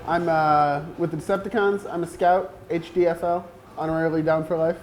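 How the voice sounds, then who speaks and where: Man, twenties, high-pitched, casual interviewee, faint background noise. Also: fairly even intonation, a little fast. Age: 20s. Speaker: moped club member, substitute teacher.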